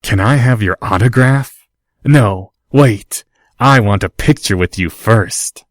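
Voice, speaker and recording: A man's voice: fairly low pitch, takes it slowly, no room sound, studio quality. A man voicing a proud, snobbish rich boy who talks down to others. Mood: fawning. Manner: lofty, condescending.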